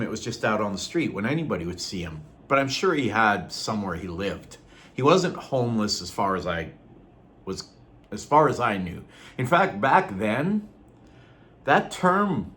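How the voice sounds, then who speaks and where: A middle-aged man from Norway, born Norwegian-speaking, talking in an earnest, unhurried way with frequent ums and throat clearing in a personal vlog. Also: medium pitch, fairly bright tone. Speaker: vlogger. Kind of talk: casual personal vlog talk.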